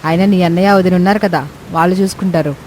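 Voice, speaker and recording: A woman's voice: on the low side, steady tone, fast talker, a little mellow, a little hiss or hum, close to an everyday voice. A woman voicing a haughty, spiteful young daughter-in-law in a story, scornful and snappish. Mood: angry, dismissive.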